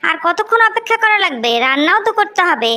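Cartoon household scold, played by a woman: Bangladeshi accent, high female voice, impatient and nagging. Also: very animated, fast, sharp tone, exaggerated voice. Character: impatient, resentful sister-in-law running the household kitchen. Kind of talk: animated moral story dialogue.

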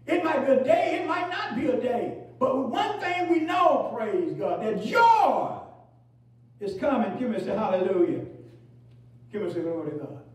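High-voiced preacher man, echoing from afar. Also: big pitch swings. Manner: fills pauses with "praise God" and "hallelujah"; repeats phrases, rising to shouts and dropping low. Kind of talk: preaching a sermon. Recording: very echoey, from far off.

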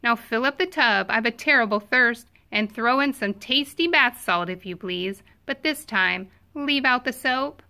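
A woman's voice: on the high side, unhurried, few pauses, pushed and bright, clear, resonant tone. A woman voicing a thirsty male camel in a children's storybook, demanding in a politely bossy way.

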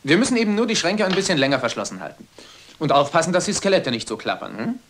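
An actor playing a smooth, sarcastic, nonchalant young ship's officer in a radio play. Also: male voice, on the high side, sing-song, fast, fairly bright tone, a little hiss or hum. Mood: sardonic. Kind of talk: German radio-play acting.

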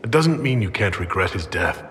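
An actor playing a composed, authoritative leader in a fantasy game, measured and serious in his delivery. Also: lowish voice, sing-song, fairly bright tone, in a large hall, slight background noise. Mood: calm, concerned. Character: composed, authoritative male leader of a holy army. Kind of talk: dramatic fantasy game dialogue.

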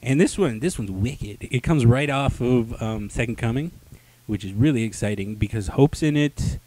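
Young male podcast host, mid-pitched, slangy and informal, dry handheld-mic sound. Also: moderate pace, darkish tone, no room sound, poor audio. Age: young adult. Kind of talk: casual comic book review chat. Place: small indoor room. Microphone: handheld microphone.

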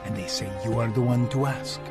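An actor playing a tough, cocky mercenary hero in a video game, terse and brooding with a gravelly voice over music. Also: male voice, medium pitch, brisk, natural voice. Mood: cool, businesslike. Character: tough, cocky male gun-for-hire action hero. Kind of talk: video game cutscene acting. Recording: music behind.

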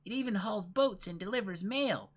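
Man putting on a high-pitched chatty little girl. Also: normal speed. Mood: cheerful, proud. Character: cheerful, chatty little girl at a train station. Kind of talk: manga dramatic reading.

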